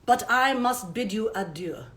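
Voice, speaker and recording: A woman voicing a croaky sleepy owl. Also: fairly high pitch, animated, unhurried, fairly bright tone, voice pushed down. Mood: polite. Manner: drowsy, old-fashioned politeness. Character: sleepy male owl, groggy and chatty.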